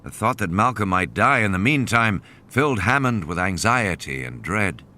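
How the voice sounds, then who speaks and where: A man's voice: fairly low pitch, very animated, moderate pace, sharp tone. A man narrating a novel as a scripted dramatic audiobook. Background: music and sound effects.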